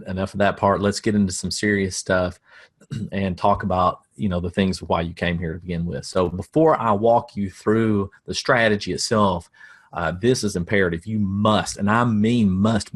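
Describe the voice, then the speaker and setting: Folksy Southern man teaching marketing. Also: on the low side. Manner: folksy, self-deprecating humor, chuckles. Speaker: marketing coach. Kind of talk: casual webinar teaching.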